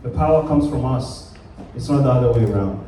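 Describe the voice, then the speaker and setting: Man from Kenya, panel speaker: earnest, emphatic, dark and mellow voice, heard from afar in a reverberant hall. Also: medium pitch, brisk, a little hiss or hum. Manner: earnest, emphatic, with frequent fillers and restarts. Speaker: community panelist. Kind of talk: public speech at a summit panel. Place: large conference hall. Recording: echoey hall, voice from far off.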